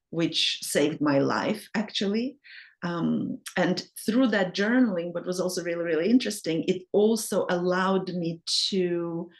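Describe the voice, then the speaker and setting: A woman whose first language is Russian, a guest telling a reflective personal story, thoughtful and unhurried, often correcting herself mid-phrase. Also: on the low side. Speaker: interview guest.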